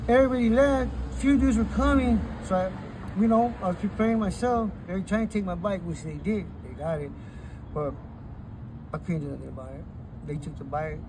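A man's voice: high voice, a little fast. A middle-aged man telling his story in a casual street interview, recorded outdoors beside a chain-link fence with a phone camera. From Texas. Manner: animated storytelling with hand gestures. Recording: outdoor street noise with passing cars. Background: traffic and street ambience.

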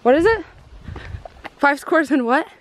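A woman's voice: sits high, animated, unhurried, slight background noise, rough recording. A young woman chatting casually into a handheld camera on a camping trip, laughing often. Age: young adult. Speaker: vlogger. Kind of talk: casual vlog chat.

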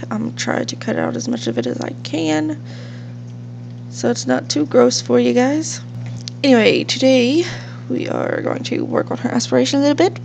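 A woman's voice: on the high side, creaky, a little mellow. A young woman with a sniffly cold, casually rambling through let's-play commentary at home. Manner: chatty, rambling with fillers. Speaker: gaming channel host. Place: home room with a fish tank. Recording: faint hum from fish tank.

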